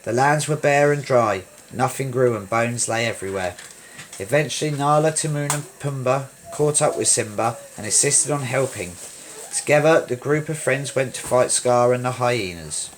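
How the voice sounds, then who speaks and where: A man reading a children's story aloud in an expressive read-along style, with emphatic delivery. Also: mid-range voice, normal speed, slight background noise, poor audio.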